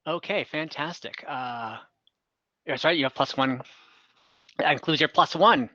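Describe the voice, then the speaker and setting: A man's voice: on the high side, unhurried, pushed and bright, no echo, dull audio. A man acting as game master of an online tabletop game, explaining rules with many hesitations and fillers. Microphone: computer mic on a video call.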